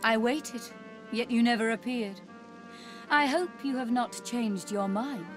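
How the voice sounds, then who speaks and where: A woman's voice: fairly high pitch, soft background music, lightly acted. A woman voicing a seductive, menacing were-spider in woman's form, speaking in a sultry, formal manner for a fantasy game. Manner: slow, sultry, formal phrasing.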